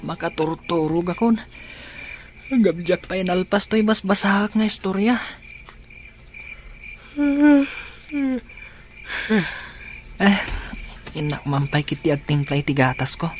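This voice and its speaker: A troubled teenage schoolgirl, voiced by a woman in a radio drama, speaking softly and hesitantly. Her voice sits fairly low for a girl. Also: moderate pace, slight background noise, lightly acted. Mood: reflective. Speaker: lead character. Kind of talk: Ilocano radio drama acting.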